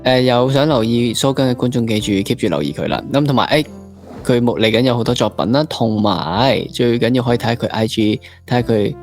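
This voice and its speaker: A man's voice: medium pitch, expressive, a little fast, faint music behind. A man with a Hong Kong Cantonese accent hosting a casual interview, informal and mixing English words into his talk. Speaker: podcast host.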